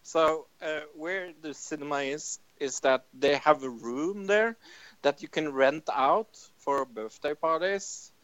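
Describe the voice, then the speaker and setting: A man's voice: on the high side, slow, a bit bright, no echo. A man co-hosting a casual movie chat, telling a rambling story with loose phrasing. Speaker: podcast co-host. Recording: dull lo-fi mic.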